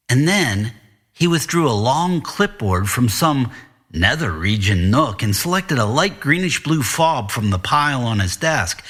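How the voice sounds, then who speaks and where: Husky, measured man narrating fiction. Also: medium pitch, a bit bright. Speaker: author and podcast narrator. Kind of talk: scripted first-person fiction narration.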